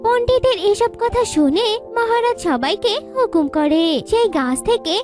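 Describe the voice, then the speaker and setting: A woman's voice: extremely high pitch, expressive, normal speed, music in the background. A woman narrating a children's cartoon story in a scripted storytelling style.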